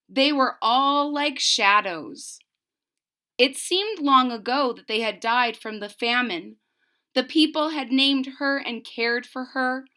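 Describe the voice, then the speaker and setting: A woman storyteller reads expressively to young listeners. Her voice is fairly high and bright, and she goes slowly and deliberately, pausing to explain. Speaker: read-aloud storyteller. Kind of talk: expressive children's story read-aloud with explanatory asides.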